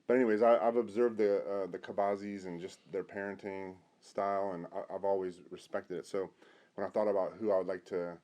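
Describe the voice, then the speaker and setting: A middle-aged man, a pastor, hosting an informal conversational interview in a halting way. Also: low voice, flat delivery, warm tone. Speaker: host, pastor.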